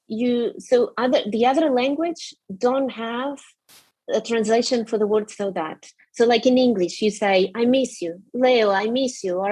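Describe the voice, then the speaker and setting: A woman's voice: fairly high pitch, a little mellow, dry recording, low-quality recording. A woman from Portugal with a Portuguese accent, a guest telling her story candidly, restarting often and saying "blah, blah, blah.". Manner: open, emotional, frequent restarts and fillers.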